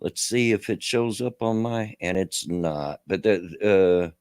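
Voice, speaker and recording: A man's voice: low voice, slow pace, a little mellow, clear, resonant tone, no echo. A middle-aged man with a Kentucky and Tennessee redneck accent, hosting a casual livestream and rambling with a self-deprecating laugh. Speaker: livestream host.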